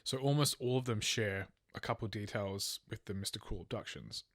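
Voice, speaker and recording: A man's voice: lowish voice, normal speed, fairly bright tone, dry recording, studio quality. A man co-hosting a true crime podcast, telling a case from notes in casual language, stumbling and correcting himself. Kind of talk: semi-scripted case narration with casual chat.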